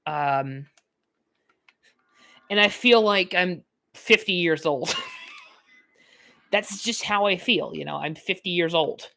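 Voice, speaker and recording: A woman's voice: lowish voice, takes it slowly, gravelly, long pauses, a bit bright. Rambling nineteen-year-old woman gamer. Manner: chatty, filler-heavy, laughs often. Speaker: gaming YouTuber. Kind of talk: rambling let's-play commentary.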